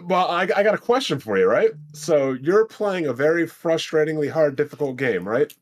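A man's voice: a little high, lively intonation, moderate pace. A man hosting a casual podcast, telling stories and bantering in slangy, profane language. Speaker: podcast host.

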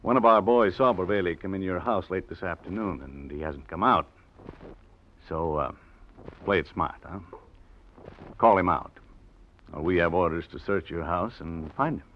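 An actor playing a shrewd federal lieutenant in a 1940s radio drama, casual in phrasing but quietly insistent. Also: male voice, low voice, natural voice. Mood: quietly pressing. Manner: casual, hesitant drawn-out phrasing, quietly pressing. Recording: dull old radio recording.

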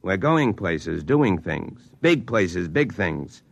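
Gruff, dry-sounding freight boss. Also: male voice, low voice, very animated, takes it slowly, no room sound, muffled recording, full character voice. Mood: lecturing. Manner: blunt, slangy, lecturing. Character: gruff, world-wise male freight office boss. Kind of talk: old-time radio drama acting.

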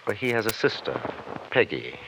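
An actor playing a stiff, evasive partner in a conservative firm, formal and hesitant, in a 1950s radio crime drama. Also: male voice, fairly low pitch, big pitch swings, normal speed. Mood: defensive. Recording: dull, noisy old radio recording.

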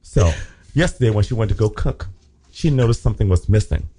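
A man casually gossiping on a podcast with sassy, animated storytelling. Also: on the low side, brisk. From Liberia. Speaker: podcast co-host.

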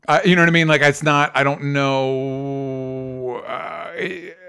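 A young man co-hosting a casual pop-culture podcast, talking in an animated, rambling way with lots of restarts. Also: mid-range voice, takes it slowly, creaky, smooth. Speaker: podcast co-host.

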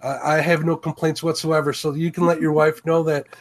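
A man's voice: fairly high pitch, little pitch movement, brisk. A man from Chicago with a Chicago accent, hosting a fishing livestream in a rambling, self-correcting chat.

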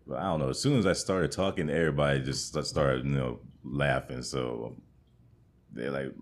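A young man with a booming, extremely deep voice answers questions on a casual podcast. He pauses often and hunts for words with plenty of ums and uhs. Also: steady tone. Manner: hesitant, searching for words with many fillers. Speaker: comedian guest.

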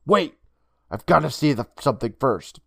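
A reader voicing a bold, bossy young girl who gives brisk, exclamatory orders. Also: medium pitch, lively intonation, vocal fry, dry recording, clean studio sound.

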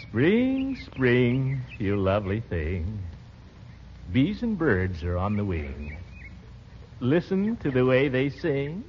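An actor playing a pompous, lovesick middle-aged bachelor, blustering and sighing in 1940s radio comedy style. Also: male voice, medium pitch, huge pitch swings, takes it slowly. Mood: idle, dreamy. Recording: muffled, noisy 1940s radio recording.

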